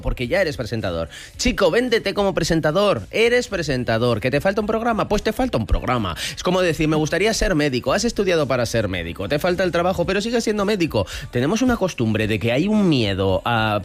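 Animated Spanish man hosting a chat. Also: on the high side. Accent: Spain Spanish. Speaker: talk show host and TV presenter. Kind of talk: casual panel chat.

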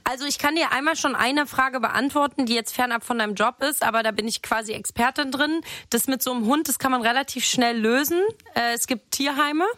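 A woman hosts a call-in show and chats with callers in a slangy, upbeat manner. Her voice sits a little above the usual pitch for a woman and sounds dry and close. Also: brisk, hardly any pauses, bright, forceful tone, no echo. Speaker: host. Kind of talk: casual radio-style chat.

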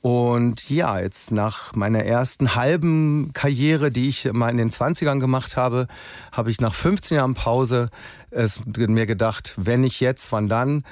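A man in his forties from Berlin, a singer chatting in a relaxed, casual interview with many fillers. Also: mid-range voice, measured pace, dry recording, studio quality. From Berlin, Germany. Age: 40s.

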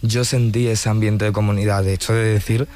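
Low-voiced Spanish man telling his story. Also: little pitch movement, a little fast, a little mellow. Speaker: interview guest, poet and journalist. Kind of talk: conversational storytelling. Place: radio studio.

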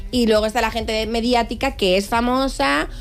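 A woman's voice: a little high, steady tone, normal speed, smooth, faint music behind. A woman with a Spanish accent from Spain and a piping, soprano-like voice, chatting playfully as a panel guest. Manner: playful, joking. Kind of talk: casual chat.

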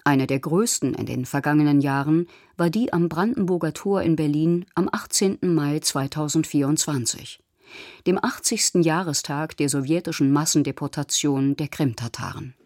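A woman reading scripted documentary news narration in a measured, matter-of-fact way, recorded as a studio voice-over. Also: sits low, a little mellow, no echo.

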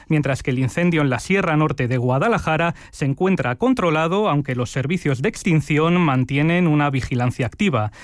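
A man's voice: a little high, dry recording. Formal male news anchor, dry studio. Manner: measured, formal delivery. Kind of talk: scripted news reading.

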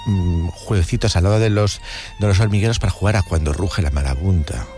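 Low-voiced man over music. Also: brisk, mellow. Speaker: radio film contributor. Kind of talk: conversational anecdotes about cinema. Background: background music.

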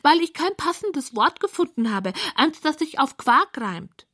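A squealing, self-important little pig from a children's bedtime story. The voice sits high and swoops widely, theatrical and excitable, a lonely creature very pleased with its rhymes. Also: female voice, a little slow, exaggerated voice. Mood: relieved, complaining. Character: excitable, vain, lonely pink pig who loves rhyming.

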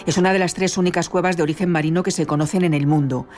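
A woman hosting a program, presenting with a smooth, welcoming scripted delivery. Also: lowish voice, steady tone, very fast, darkish tone. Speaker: program host.

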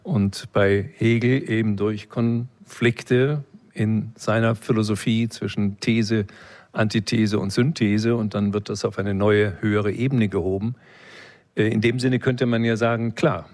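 Guy with a lowish voice explaining stuff like a professor in an interview, reflective, lecture style. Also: darkish tone. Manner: professorial, explanatory, with tag questions. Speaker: interview guest, philosophy expert.

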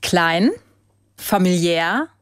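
A woman's voice: on the low side, very slow, clean tone, dry recording, studio quality. Cheerful woman with a light Hessian accent. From Hesse, Germany. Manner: cheerful, laughs often, some hesitations. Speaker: guest, table football world champion. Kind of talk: radio interview.

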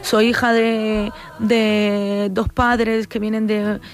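A woman's voice: mid-range voice, little pitch movement, drawn-out words, soft background music. A young adult woman with a Spanish accent from Barcelona, a flamenco singer answering interview questions in a thoughtful, hesitant way, full of fillers and restarts. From Spain.

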